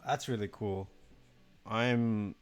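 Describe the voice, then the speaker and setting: A man's voice: lowish voice, steady tone, takes it slowly, clear, resonant tone, faint music behind. A young man from Mexico chatting casually on a live stream, sleepy, rambling and self-deprecating, swearing now and then.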